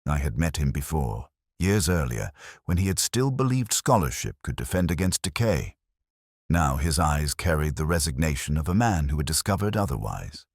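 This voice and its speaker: A man playing a weary, brooding, sharp-minded police inspector who narrates his own detective story in a measured, wry, literary way. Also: rumbling low, dry recording, studio quality, exaggerated voice. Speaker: first-person narrator.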